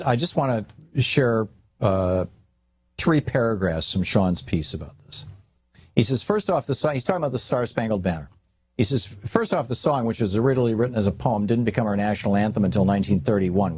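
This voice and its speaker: A man's voice: lowish voice, slightly rough. A man hosting talk radio, reading aloud from a newspaper column and commenting on it with emphatic emphasis and conversational asides. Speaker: radio talk show host. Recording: tinny phone-like radio audio, roomy echo.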